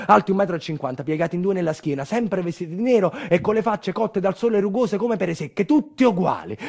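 Animated, quick-talking man delivering a theatrical monologue. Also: sits high. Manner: rhetorical, wry, with refrains and colloquial asides. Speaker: monologue stage performer.

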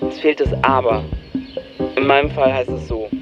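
A man's voice: mid-range voice, fairly even intonation, moderate pace, lightly acted. An actor playing a wry young man who narrates his own story in a dry, ironic way for radio drama. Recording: dull band-limited sound, music behind.

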